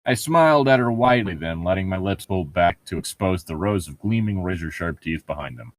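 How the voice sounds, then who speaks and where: A man's voice: low-pitched, animated, brisk, dry recording. A man reading a novel aloud and mocking it with crude, sarcastic, irreverent commentary. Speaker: co-host of a comedic read-along.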